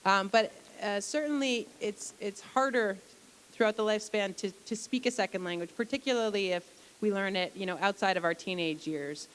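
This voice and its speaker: A woman's voice: medium pitch, normal speed, slight background noise. Woman explaining at a lecture Q&A. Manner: explanatory, with frequent restarts and fillers. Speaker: lecturer answering audience questions. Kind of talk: Q&A after a public lecture. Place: library atrium.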